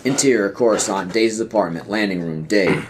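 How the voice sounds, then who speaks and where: A man's voice: on the low side, a little fast, very noisy. A man reading stage directions as the narrator of a scripted audio play.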